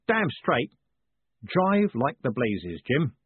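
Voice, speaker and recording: A man's voice: mid-range voice, big pitch swings, slow pace, husky, no room sound, pitched above the performer's own voice, exaggerated voice. Man playing a breezy confident rogue, narrow-band sound. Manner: breezy, assured. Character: brisk, confident, smooth-talking male rogue in charge.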